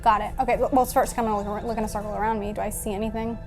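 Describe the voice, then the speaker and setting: A woman's voice: mid-range voice, level tone, very fast. A woman playing a tabletop game, eagerly thinking aloud and repeating words in casual chat. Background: faint music.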